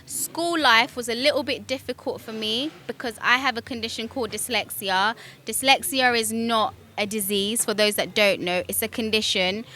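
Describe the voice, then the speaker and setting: Bright-voiced chatty young woman, British accent. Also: on the high side, steady tone, moderate pace, slight background noise. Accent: British English. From Kenya. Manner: chatty, many ums, laughs easily. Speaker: guest. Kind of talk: casual interview storytelling.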